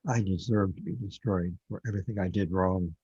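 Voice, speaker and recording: A man playing a confused, paranoid, brooding man who lives inside a computer, with a husky voice, in an audio drama. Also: low voice, steady tone, brisk, dark tone. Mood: paranoid, guilt-ridden. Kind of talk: audio drama monologue and dialogue. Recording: dull, lo-fi, dry close recording.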